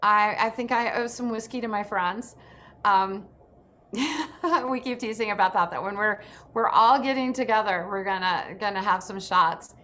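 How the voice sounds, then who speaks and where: A woman's voice: medium pitch, moderate pace, bright, forceful tone. A woman hosting a casual, instructional panel chat over a video call. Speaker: host of an industry program for actors. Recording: noisy video call audio.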